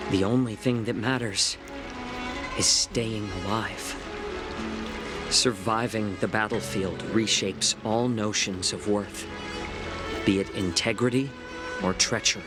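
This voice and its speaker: A man voicing a composed, philosophical advisor in a video game, with measured, polite, deliberate phrasing. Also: on the low side, fairly even intonation. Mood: grave, detached. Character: composed, wry, philosophical adult male advisor. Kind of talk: scripted video game voice acting. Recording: game dialogue under clear background music. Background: game music.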